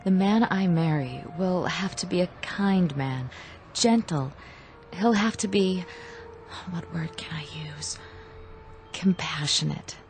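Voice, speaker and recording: An actress playing a gentle, principled young woman in a radio drama, soft and earnest. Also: on the low side, slow. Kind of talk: vintage radio drama acting. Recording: old radio drama with faint music.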